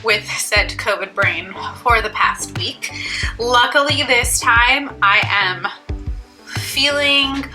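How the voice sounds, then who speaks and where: A woman's voice: on the high side, slow pace, over music. A young woman vlogging about beauty products in a casual, rambling, self-deprecating way, sounding stuffy and congested. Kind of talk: casual chat to camera.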